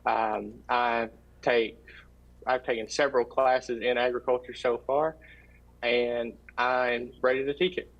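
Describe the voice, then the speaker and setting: Young guy from kentucky, level monotone voice, kinda dull audio. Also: medium pitch, measured pace, gravelly. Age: young adult. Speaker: student guest. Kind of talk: interview.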